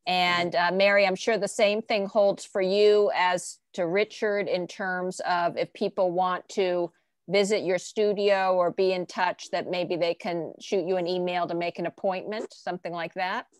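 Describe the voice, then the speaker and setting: A woman's voice: lowish voice, steady tone, a little slow, no room sound. A woman hosting a virtual art reception over a video call, moderating in a friendly, organized way. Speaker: host.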